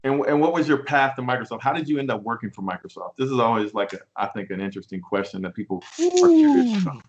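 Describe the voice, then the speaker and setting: A man moderating a virtual recruiting panel, asking follow-up questions in a friendly, halting way. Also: mid-range voice, wildly swooping pitch, highs cut off.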